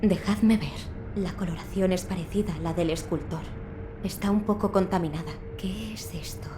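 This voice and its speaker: A woman's voice: on the low side, moderate pace, over music, lightly acted. A woman playing a composed, dutiful physician in a video game, formal and courteous, with a Castilian Spanish accent. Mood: focused, clinical. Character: composed, dutiful female physician in feudal Japan.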